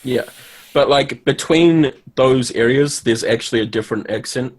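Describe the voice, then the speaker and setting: A young man from New Zealand, a guest on a casual livestream, chatting with quick, joking asides. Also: medium pitch. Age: young adult.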